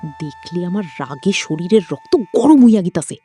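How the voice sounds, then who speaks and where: A woman's voice: lowish voice, very animated, normal speed, warm tone, husky, dry recording, faint music behind. A woman playing a greedy, suspicious mother in a cartoon, scolding and accusing in a Bangladeshi accent. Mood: resentful, accusing. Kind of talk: cartoon voice acting.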